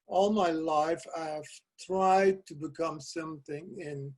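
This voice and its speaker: Soft-spoken older man, Dutch native. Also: on the high side, fairly even intonation, unhurried. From South America. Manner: soft-spoken, hesitant with restarts and small laughs. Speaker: guest poet. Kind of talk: casual video call interview. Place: cluttered home office. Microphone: video call webcam.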